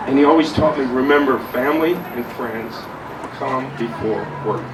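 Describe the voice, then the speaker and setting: A middle-aged man with a loud voice giving an unscripted, rambling memorial tribute, blunt and swearing freely. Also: medium pitch, normal speed. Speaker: eulogy speaker. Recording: echoey room, mic far off, loud noise.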